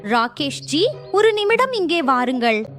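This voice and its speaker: Female performer, high clever village wife, emphatic, lively swooping pitch, children's moral story. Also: slow, soft background music, exaggerated voice. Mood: urgent.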